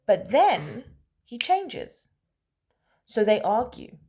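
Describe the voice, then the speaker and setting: A woman telling a story in a casual, conversational way, animated and emphatic, often checking in with rhetorical questions. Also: on the high side, extremely slow, breathy edge. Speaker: storyteller, host of a story-time series.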